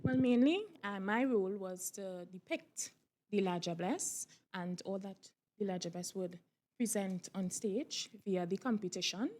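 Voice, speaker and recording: Mid-pitched woman being interviewed. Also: measured pace, darkish tone. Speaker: stage performer being interviewed. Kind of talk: casual interview answer. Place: interview room off stage.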